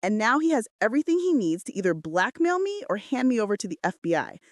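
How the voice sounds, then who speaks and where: A woman's voice: a little high, very animated, quick pace, no room sound, clean studio sound. Woman voicing a terse, brooding male mafia boss. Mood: grim. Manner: terse, commanding. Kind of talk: dramatic romance audiobook dialogue.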